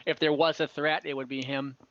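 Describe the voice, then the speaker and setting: A game master narrating an online tabletop game casually, in a halting, improvised way. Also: mid-range voice, flat delivery, no echo. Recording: dull, dry online call audio.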